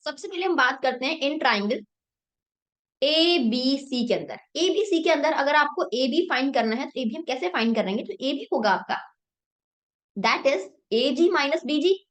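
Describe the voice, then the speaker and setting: A woman's voice: sits high, lively intonation, unhurried. Woman teacher with a sore throat. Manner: explains step by step, asks and answers own questions. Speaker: math teacher. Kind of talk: Hindi-English math lesson.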